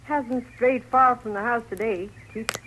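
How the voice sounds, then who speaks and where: Folksy ranch wife, old radio. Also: female voice, fairly high pitch, fairly even intonation, fairly bright tone. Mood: curious, easygoing. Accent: rural American. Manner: folksy, plain, unhurried. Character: homely rancher's wife, hospitable, plain country woman. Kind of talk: 1950s radio drama acting. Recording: noisy old radio recording.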